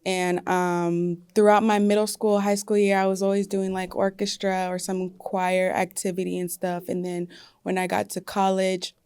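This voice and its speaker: A woman's voice: mid-range voice, completely flat delivery, moderate pace, a little mellow, smooth, dry recording. A young woman singer talking casually in an interview, with many fillers like "like" and "you know". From United States. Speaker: singer guest.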